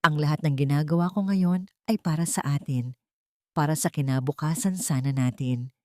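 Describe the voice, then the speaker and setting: A desperate, two-faced husband from an audio drama played by a woman narrator. The delivery is low and melodramatic, full of false sincerity, in a dry recording. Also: normal speed, no echo, lightly acted. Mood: fake tearful pleading. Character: deceitful, pleading, desperate adult husband, quick to rage.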